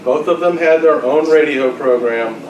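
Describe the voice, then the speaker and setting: A man's voice: a little high, normal speed, dull audio. A man acting as quiz master at a club luncheon, reading out questions with joking, teasing banter. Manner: jocular, teasing. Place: club luncheon in a large room. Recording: distant mic in echoey, noisy crowded room. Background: lively crowd chatter and laughter.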